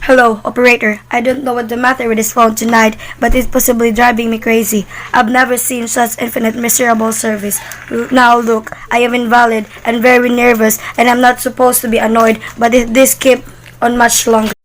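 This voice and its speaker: A woman's voice: fairly high pitch, flat delivery, brisk, slight background noise, lightly acted, rough recording. A woman playing a nervous, demanding bedridden wife, irritable and frantic, in an amateur radio play. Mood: exasperated, indignant. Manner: exasperated, complaining, dramatic.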